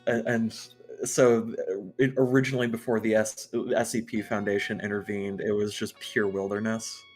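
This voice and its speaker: A man chatting casually on a livestream in a halting, stammering way. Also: on the low side, level tone, low-quality recording. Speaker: livestream participant. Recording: music playing behind the voice.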